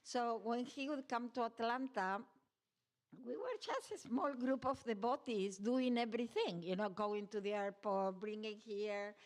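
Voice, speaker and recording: A woman's voice: a little high, unhurried. Reverent woman, fond storytelling. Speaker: disciple sharing memories at a celebration. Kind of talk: heartfelt personal speech. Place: temple hall.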